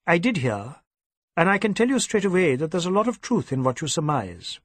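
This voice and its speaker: A man voicing a brisk, proper older Indian father in an audiobook, with a clipped, old-fashioned, matter-of-fact way of talking. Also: fairly high pitch, big pitch swings, fairly bright tone, no echo, voice pushed up. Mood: frank, reflective.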